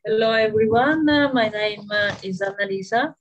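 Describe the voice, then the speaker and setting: A woman from Italy in her thirties introducing herself as a new volunteer, hesitant with many fillers. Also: medium pitch. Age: 30s. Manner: slow, hesitant. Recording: dull lo-fi call audio.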